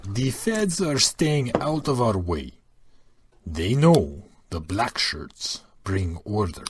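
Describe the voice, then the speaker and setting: A man plays a hard, loyal militia lieutenant in an audio drama. His low voice swings in pitch and takes its time, sounding assured and in control. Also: big pitch swings, slow, background noise. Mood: confident, businesslike.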